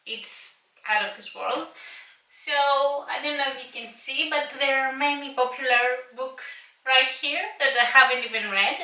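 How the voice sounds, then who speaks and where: A woman's voice: high voice, sharp tone. A young woman whose first language is Greek, chatting eagerly on her video channel about her books, with a few slips and restarts. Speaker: booktuber. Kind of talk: casual vlog chat. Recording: roomy echo, mic a little far.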